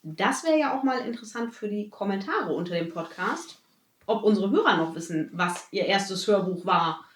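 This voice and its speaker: A woman's voice: medium pitch, animated, moderate pace. A woman chatting casually with friends as a podcast co-host. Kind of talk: casual chat among friends. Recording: echoey room.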